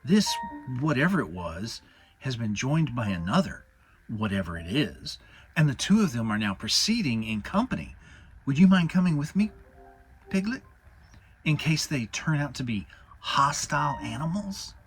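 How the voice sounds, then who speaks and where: A man playing an earnest, slow-thinking, friendly toy bear in a children's storybook, puzzling things out ponderously. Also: medium pitch, big pitch swings, faint music behind, natural voice, low-quality recording. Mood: puzzled. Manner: ponderous, halting, earnest deliberation.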